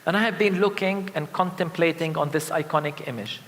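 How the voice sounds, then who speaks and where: A man from Palestine preaching a sermon of lament as a pastor, solemn and emphatic, with deliberate repeated phrases. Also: on the high side, a bit bright, a little hiss or hum. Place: large indoor church. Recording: reverberant church hall sound.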